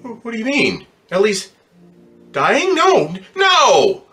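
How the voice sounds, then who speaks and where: A man's voice: high voice, huge pitch swings, slow pace, pushed and bright, faint music behind, heavily acted. A man playing an embittered, distraught ambulance driver in slangy, stammering old-time radio drama style. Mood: anguished, accusing. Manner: slangy working-class talk, stammering when upset.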